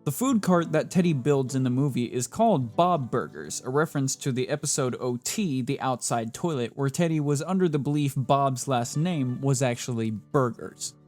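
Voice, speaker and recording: A man's voice: medium pitch, moderate pace, hardly any pauses, a little mellow. A man narrating a scripted trivia countdown in a playful, jokey presenter style, with wry asides between the facts. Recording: music playing behind the voice.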